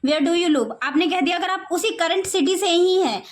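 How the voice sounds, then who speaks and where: A woman teaching spoken English to children, slowing right down to model each phrase and often checking in with "okay, children.". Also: extremely high pitch. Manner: slows down sharply to model English phrases word by word; repeats phrases and keeps saying "okay, children". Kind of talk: classroom-style lesson in Hindi.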